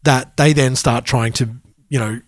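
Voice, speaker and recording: A man's voice: medium pitch, steady tone, measured pace, dry recording, studio quality. A man co-hosting a podcast in casual chat, thinking aloud as he explains his points. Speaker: podcast co-host.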